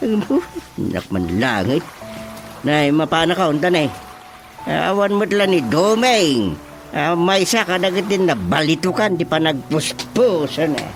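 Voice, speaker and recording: A man's voice: on the high side, very animated, unhurried. An actor playing an old, grumbling village father in a Filipino radio drama comedy, exclaiming expressively in a Filipino accent. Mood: exclaiming, teasing. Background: music.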